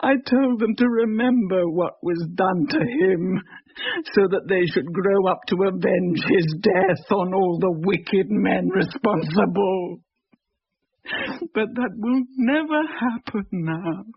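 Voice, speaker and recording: A woman playing the grieving ghost of a starving young widow in a radio drama, tearful and slow. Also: mid-range voice, breathy edge, no echo. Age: 20s. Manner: slow, broken confession. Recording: dull lo-fi radio sound.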